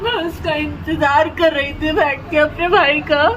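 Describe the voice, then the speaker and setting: A woman's voice: sits high, steady tone, a little slow, fairly bright tone, slight background noise. A young woman who is crying and distraught, pleading and repeating anguished questions in an emotional outpouring. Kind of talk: emotional outpouring to camera and to God.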